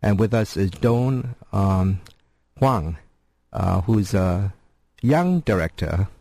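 A man hosting a college radio interview, talking haltingly with many fillers and restarts. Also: low-pitched, expressive, vocal fry, dark tone, no room sound. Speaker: radio host.

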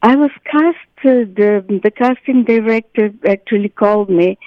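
A woman, an actress, chatting as an interview guest in an animated way, often restarting her phrases. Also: a little high, moderate pace, dry recording, lo-fi sound. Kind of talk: interview chat.

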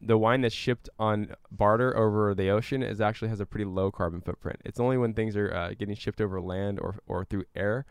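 Low, clear-voiced man explaining. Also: steady tone, fairly quick, few pauses, no room sound, clean studio sound. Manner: explanatory, with everyday examples. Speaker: interview guest, climate researcher and activist. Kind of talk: conversational explaining in a radio interview.

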